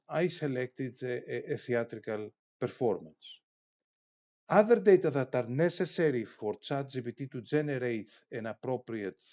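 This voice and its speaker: A man giving a formal instructional lecture in a halting, hesitant way, with pauses mid-phrase. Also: mid-range voice, a little mellow, highs cut off. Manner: formal, academic wording. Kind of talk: instructional lecture, explaining step by step.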